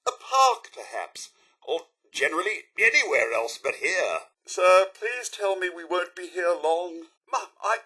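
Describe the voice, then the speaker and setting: A man's voice: a little high, pushed and bright, breathy edge, exaggerated voice. A man playing a prim, loyal robot butler in a video game, speaking with a British accent and polite, theatrical formality. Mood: uneasy, reluctant, then horrified, distressed. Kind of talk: scripted video game character lines.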